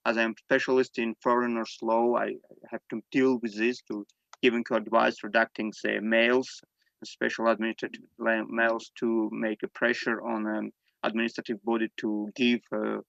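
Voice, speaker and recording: Armenian-native guy talking english on a video call, lots of uh and restarts, kinda flat, gravelly, muffled dry sound. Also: mid-range voice, no echo. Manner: halting, many fillers and restarts. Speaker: panel speaker giving testimony. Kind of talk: unscripted spoken testimony.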